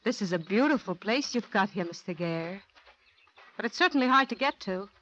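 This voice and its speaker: An actress playing a bold, self-assured young housekeeper in 1940s radio drama style. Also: female voice, mid-range voice, expressive, moderate pace, a bit bright, close to an everyday voice. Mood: self-assured, familiar. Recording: muffled, faintly noisy 1940s radio recording.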